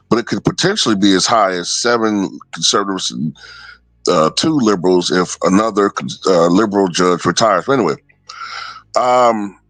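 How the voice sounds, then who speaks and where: Low-voiced man giving off-the-cuff opinions. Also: fairly bright tone, no echo, rough recording. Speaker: online political commentator. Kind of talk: casual opinion commentary, talking off the cuff.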